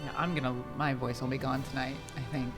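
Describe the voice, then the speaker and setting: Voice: medium pitch, flat delivery, fast, loud music behind the voice. A podcast co-host of unclear sex in casual chat with friends.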